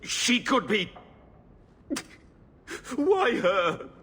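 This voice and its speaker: A man playing a distraught, bitter uncle in a fantasy video game, with a rough voice and forceful, emotional delivery. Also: high voice, big pitch swings, drawn-out words, full character voice. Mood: bitter, anguished. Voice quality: raspy, rough. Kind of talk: dramatic video game dialogue. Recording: reverberant hall sound.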